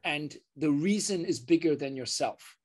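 A man, a surgeon, speaking as an interview guest in an earnest way, often restarting his sentences. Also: on the high side. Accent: British. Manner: earnest, halting with many restarts. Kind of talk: interview conversation.

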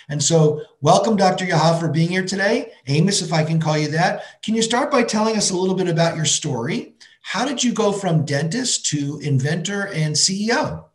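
Man, podcast host, friendly, inquisitive, higher voice, roomy echo. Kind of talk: podcast interview.

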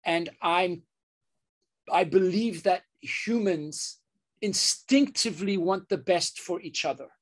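Earnest male surgeon, interview guest, halting delivery with frequent restarts. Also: high-pitched. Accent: British. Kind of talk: interview conversation.

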